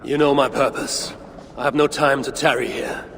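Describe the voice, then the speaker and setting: An actor playing a grave warrior god in a video game, formal and measured. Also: male voice, mid-range voice, steady tone, vocal fry, fairly bright tone. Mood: stern. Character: solemn, grave Norse god warrior lord. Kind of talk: video game cutscene acting. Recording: long echoing reverb, faint ambient noise.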